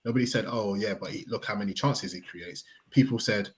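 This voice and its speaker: A young man on a video call, teasing and slangy, talking football in an animated way. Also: on the low side, fairly quick. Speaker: football podcast panelist. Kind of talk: teasing casual banter.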